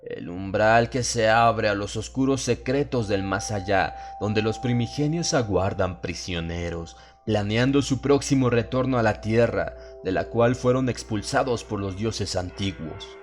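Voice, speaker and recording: A man's voice: fairly low pitch, unhurried, few pauses, faint music behind. A man narrating a horror audiobook in a solemn, dramatic style.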